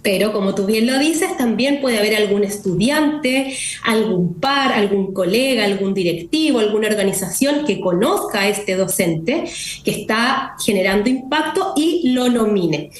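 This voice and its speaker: A woman with a Chilean Spanish accent, joining remotely as an interview guest and explaining in a steady way, often adding tag questions. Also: mid-range voice, measured pace. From southern Chile. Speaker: guest, executive director of a nonprofit. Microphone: remote connection. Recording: echoey room with faint noise.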